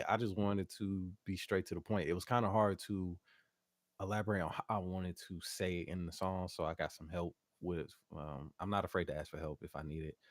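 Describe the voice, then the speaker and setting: A young man, a guest R&B singer and producer, talking about his music in a relaxed, easygoing way. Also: low-pitched, no echo, clean studio sound. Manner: relaxed, hums melodies, laughs easily. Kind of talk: casual interview chat.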